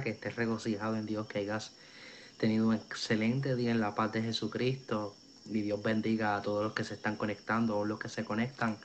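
An earnest young man with a Puerto Rican accent tells his testimony in a preacherly way. He speaks over a video call that sounds muffled. A faint hiss runs under his voice. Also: fairly low pitch. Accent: Puerto Rican Spanish. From Puerto Rico. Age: 20s. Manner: earnest, preacherly, many fillers. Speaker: young evangelist guest. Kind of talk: testimony in an interview. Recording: dull video call audio, faint noise.

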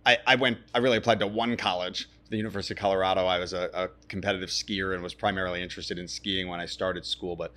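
Deep-voiced man telling his story. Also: steady tone, fast, hardly any pauses, a bit bright. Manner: thoughtful, many restarts. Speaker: guest. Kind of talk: casual interview.

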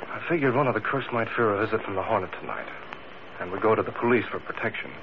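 An actor playing a bold, watchful masked crime fighter in a 1940s radio adventure. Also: male voice, fairly low pitch, fast talker, natural voice. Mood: watchful, calm. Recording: muffled, noisy old radio recording.

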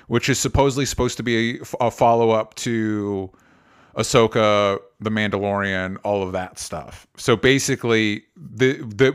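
A man's voice: mid-range voice, clear, resonant tone. A man hosting a podcast, talking casually through movie news in an explanatory way, with qualifications and the odd swear word. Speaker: podcast host.

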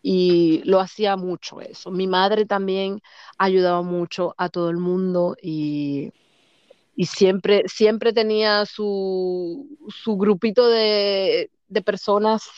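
Lively Dominican woman telling stories. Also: medium pitch, slow pace, smooth, no echo, lo-fi sound. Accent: Dominican Spanish. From Dominican Republic. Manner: lively, laughs often, acts out other people's lines. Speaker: guest. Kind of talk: casual storytelling interview.

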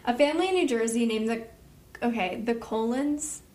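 A woman's voice: a little high, normal speed, a little mellow. A young woman co-hosting a podcast, chatting casually with lots of fillers and casual swearing. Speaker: podcast co-host. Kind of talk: casual chat. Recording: echoey room.

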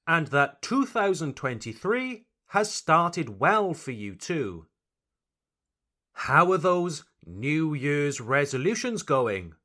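A man's voice: on the high side, fairly bright tone. A man from Wales with a light Welsh accent, hosting a podcast for English learners and reading his script with careful, teacherly articulation.

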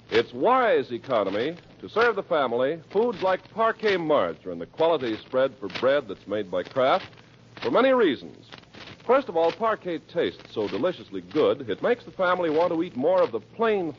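A man announcing a 1940s radio show and pitching its sponsor in a polished, emphatic style. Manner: polished, emphatic sales delivery. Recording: muffled old radio recording, faint noise.

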